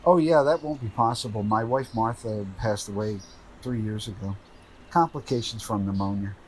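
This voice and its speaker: An actor playing an earnest, grieving, easily agitated widower in a radio drama. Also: male voice, fairly low pitch, normal speed, a little mellow, a little hiss or hum, close to an everyday voice, low-quality recording. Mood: polite, wistful.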